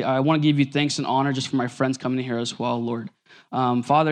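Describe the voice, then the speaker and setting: A man in his twenties preaching in an earnest, informal way, with fillers and self-corrections as he reads scripture. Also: on the high side. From Nebraska. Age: 20s. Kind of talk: sermon.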